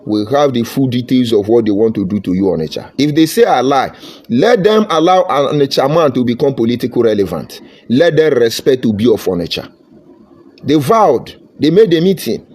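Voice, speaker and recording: A man with a Nigerian accent delivers a heated political monologue in an average-pitched voice. He talks in a combative, fervent way and slips into Pidgin phrases. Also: big pitch swings, a little fast, a little mellow, breathy edge. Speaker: political commentator.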